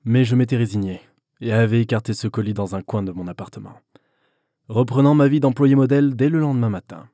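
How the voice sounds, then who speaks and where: A man's voice: medium pitch, fast, dark tone, no room sound, clean studio sound, natural voice. A man playing a disillusioned office worker who broods and mutters to himself in a French audio drama. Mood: brooding. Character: weary, disillusioned male office worker, former gamer.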